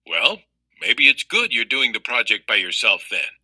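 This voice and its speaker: A man's voice: medium pitch, sing-song, unhurried, sharp tone, breathy edge, no echo, heavily acted. A man voicing a chatty, doubting and slightly catty schoolgirl friend in a children's story. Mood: sulky.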